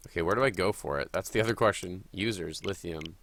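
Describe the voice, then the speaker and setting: A man streams a game live and talks through each move as he plays it. He is sniffly from a cold. His voice is bright and forward, sitting fairly low. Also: fast, no echo. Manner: chatty, thinking aloud while playing. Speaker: gaming streamer.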